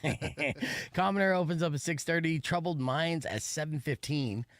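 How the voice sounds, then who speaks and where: A man's voice: a little high, moderate pace, a bit bright, no room sound. A man hosting a radio show, chatting casually with a guest in a rambling way, with lots of fillers. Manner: laid-back, rambling with fillers. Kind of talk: casual on-air chat.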